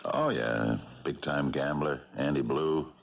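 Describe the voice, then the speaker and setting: An old retired American cop with an extremely deep, gravelly voice. He tells his story haltingly, wistful and haunted, in the manner of a 1970s radio mystery. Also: exaggerated voice. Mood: wry, hesitant. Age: older. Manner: halting, hesitant, colloquial. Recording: dull 1970s radio broadcast, music behind.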